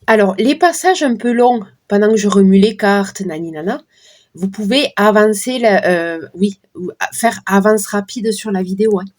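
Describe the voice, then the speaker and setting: Hoarse middle-aged woman, casual card reader, chatty asides. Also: medium pitch, moderate pace, darkish tone. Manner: chatty, talks to viewers while shuffling cards. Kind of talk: casual card-reading livestream talk.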